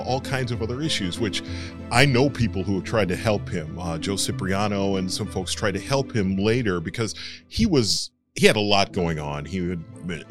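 A male voice actor being interviewed, explaining his trade in a frank, matter-of-fact conversational way. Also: deep voice. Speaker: voice actor guest.

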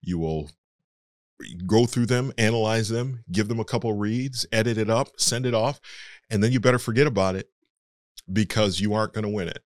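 A man's voice: fairly low pitch, moderate pace, dry recording, studio quality. A male voice actor being interviewed, explaining his trade in a frank, matter-of-fact conversational way. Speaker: voice actor guest.

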